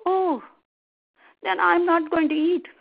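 A greedy crocodile wife from a storybook, acted by a woman. She is shrilly high, insistent and sulky. The recording is slightly muffled. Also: steady tone, slow, creaky, heavily acted. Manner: gushing, insistent.

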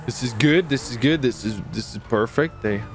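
A man giving casual, excited commentary on his gameplay, chatty and often repeating his phrases. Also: medium pitch, moderate pace. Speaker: gaming video host and player.

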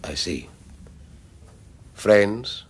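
An actor playing a quietly probing police inspector in a radio drama, polite and understated. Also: male voice, fairly low pitch, big pitch swings, extremely slow, husky, exaggerated voice. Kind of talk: 1980s radio drama acting.

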